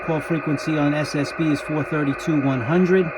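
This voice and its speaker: A man with a slightly high voice talks casually as a ham radio vlogger. His delivery is informal and explanatory, and there is faint noise behind him. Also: fairly even intonation, normal speed. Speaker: amateur radio vlogger and operator. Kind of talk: casual video narration and radio contest operating.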